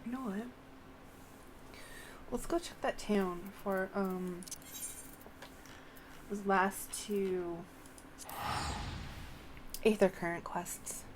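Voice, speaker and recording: A woman's voice: medium pitch, steady tone, drawn-out words, long pauses, background noise. A woman doing casual live gameplay commentary, muttering and thinking aloud to herself. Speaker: game streamer.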